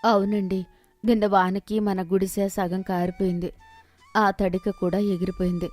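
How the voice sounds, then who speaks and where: A woman's voice: mid-range voice, little pitch movement, normal speed, darkish tone, faint music behind, natural voice. A woman voicing a gardener's poor, long-suffering village wife in a story, plaintive and outspoken. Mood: worried, complaining.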